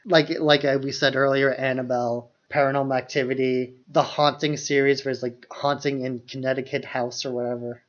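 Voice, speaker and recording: A man's voice: medium pitch, little pitch movement, brisk, few pauses. A man co-hosting a podcast, chatting casually about films in a loose conversational way peppered with "like". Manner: conversational, heavy use of "like". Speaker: podcast co-host. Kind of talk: casual film discussion. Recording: dull lo-fi microphone sound.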